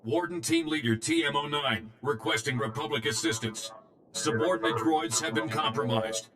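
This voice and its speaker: An actor voicing an emotionless warden security droid in a video game, speaking in terse, formal monotone phrases. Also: male voice, lowish voice, moderate pace, slight background noise. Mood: flat, official.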